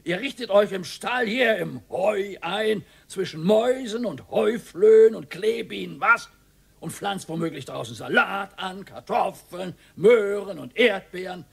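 An actor playing a grumpy, blustering older retired husband in a radio play. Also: male voice, sits high, animated, measured pace, creaky, breathy edge, heavily acted. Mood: indignant. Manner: blustering, indignant.

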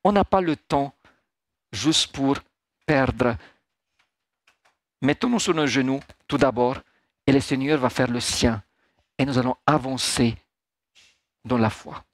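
An older man preaching as a pastor in a small church hall on a lapel mic, animated and acting out dialogue. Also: mid-range voice, slow, long pauses. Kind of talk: sermon to a congregation.

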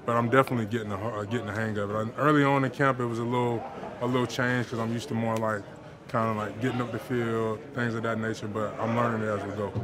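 A young man, a football player, answering reporters in a locker room after a game in a relaxed, easygoing way. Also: mid-range voice, fast. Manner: relaxed, laid-back, with filler words and light laughs. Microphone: handheld reporter microphones. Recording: busy locker room crowd noise. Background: locker room chatter.

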